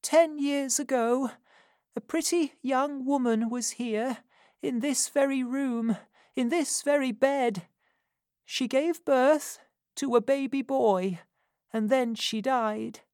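A woman's voice: high-pitched, slow pace, darkish tone, no echo, studio quality, voice pushed up. A woman voicing a dying old woman in a workhouse, weak and confiding.

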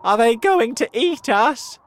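A man's voice: expressive, takes it slowly, heavily acted. A man voicing a fearful, fretful earthworm in a storybook, anxious and pleading. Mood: frightened. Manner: anxious, pleading, high-pitched.

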